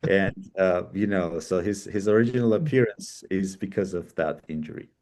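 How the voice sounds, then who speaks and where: Low, mellow man explaining business ideas. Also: normal speed. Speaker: session presenter. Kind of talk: business talk with examples.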